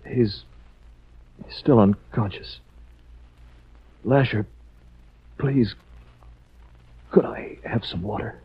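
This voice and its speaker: Radio drama part: steadfast educated captive, low rough-edged voice, earnest, muffled vintage sound. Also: male voice, heavily acted. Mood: pleading, coaxing. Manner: measured, earnest, explanatory. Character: calm, educated, self-sacrificing male captive. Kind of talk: 1940s radio acting. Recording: muffled 1940s radio recording.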